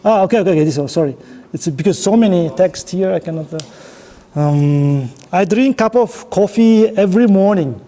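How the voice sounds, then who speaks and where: High-voiced, mellow Uzbek-accented man presenting. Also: lively intonation. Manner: halting, choppy non-native English. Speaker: conference speaker running an audience networking game. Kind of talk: informal interactive presentation to an audience.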